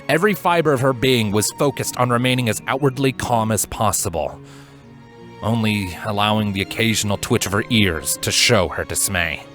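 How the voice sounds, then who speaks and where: A man narrating scripted fiction as a storyteller, with an expressive, suspenseful delivery. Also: fairly low pitch.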